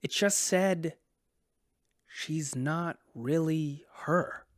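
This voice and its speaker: A self-important busybody passenger in a sci-fi radio drama. He is officious and suspicious, stressing his points in a light, highish voice recorded very dry. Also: drawn-out words, no room sound. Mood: conspiratorial, determined. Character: officious, suspicious male passenger activist, self-appointed investigator.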